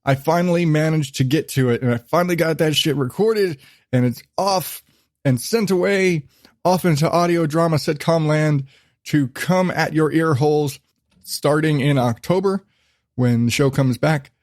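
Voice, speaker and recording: A man's voice: a little high, normal speed, darkish tone, dry recording. A man hosting his own podcast, chatting in a loose, rambling way and cutting himself off mid-thought. He swears easily and sounds like he is still shaking off a cold. The sound is close and dry, with no room around it. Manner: rambling, swears freely, self-interrupting. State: recovering from illness, lingering cough. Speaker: podcast host. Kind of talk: casual solo podcast chat.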